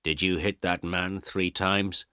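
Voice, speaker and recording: A man's voice: low voice, level tone, takes it slowly, bright, forceful tone, smooth, no room sound, studio quality, full character voice. A man voicing a stern policeman in a story, curt and commanding. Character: stern, authoritative male policeman.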